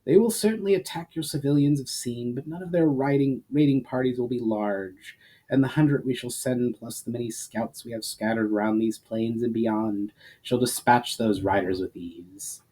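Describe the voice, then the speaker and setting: A man's voice: mid-range voice, moderate pace, mellow, lightly acted, low-quality recording. A man voicing a confident, courteous cavalry leader in a fantasy storybook, speaking in a formal, assured manner. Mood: reassuring.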